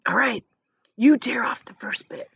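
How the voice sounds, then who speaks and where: A woman voicing an excitable, conspiratorial old grandfather in a storybook, with breathy whispering and eager, nervous urging. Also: on the high side, a little slow, dry recording, muffled recording, pitched above the performer's own voice, full character voice.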